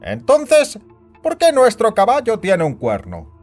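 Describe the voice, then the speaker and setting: Man acting a high-voiced, scheming senior boss. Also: huge pitch swings, unhurried, full character voice. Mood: worried. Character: Rachen, scheming, worried senior boss, melancholy and bossy. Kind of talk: scripted Spanish audio drama acting. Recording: music bed behind the voice.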